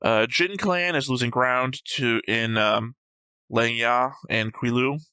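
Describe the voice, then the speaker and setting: A man's voice: medium pitch, slow pace, sharp tone, clean tone, no echo. A sleep-deprived man co-hosting an anime discussion show, casually going over notes, arguing points and restating himself. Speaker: co-host of an anime reaction show.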